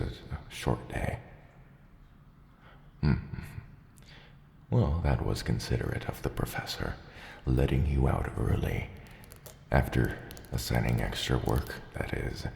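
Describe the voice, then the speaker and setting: A man voicing a gruff, dry-witted dragon father in an ASMR roleplay, fond of his hatchling, with a halting, deadpan, teasing delivery. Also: a little hiss or hum, exaggerated voice. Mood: dry, caring. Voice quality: very deep, gravelly. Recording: roomy cave-like reverb, clear background ambience.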